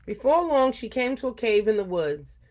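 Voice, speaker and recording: A woman's voice: on the high side, big pitch swings, moderate pace, a little mellow, poor audio. Older woman, earnest storytime host. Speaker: storytime host reading aloud to children. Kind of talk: informal chat and storybook read-aloud.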